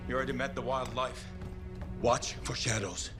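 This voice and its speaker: An actor playing a wry, practical pilot sidekick in a video game, speaking informally and encouragingly. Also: male voice, medium pitch, fairly even intonation, normal speed, music in the background, lightly acted. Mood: urgent, encouraging. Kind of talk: cinematic video game acting.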